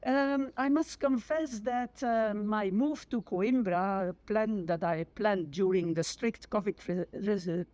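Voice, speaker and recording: A retired teacher in her sixties from Flanders, a Dutch native with a Flemish accent, giving an earnest personal account and often pausing to search for words. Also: mid-range voice, a little mellow, dry recording. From Flanders, Belgium. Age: 60s. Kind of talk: personal testimony to camera.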